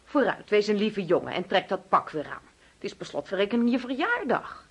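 An actress in a radio drama playing a doting, controlling mother who fusses and coaxes with sweet insistence in a husky voice. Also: mid-range voice, very animated, fairly quick. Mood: indignant, scolding. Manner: coaxing, fussing, overbearing.

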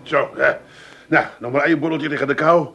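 An actor playing an exasperated, sardonic grown son with an Amsterdam accent in a comic radio play. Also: male voice, on the high side, steady tone, brisk, creaky, highs cut off, over music. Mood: irritated. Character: exasperated, wry grown son, working-class Amsterdam man.

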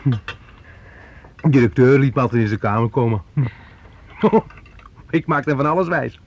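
A man's voice: mid-range voice, normal speed, lo-fi sound, lightly acted. An actor playing a grown son who talks on familiarly to his mother, teasing and probing, in a Dutch radio play. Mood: slyly teasing. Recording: noisy old radio play recording.

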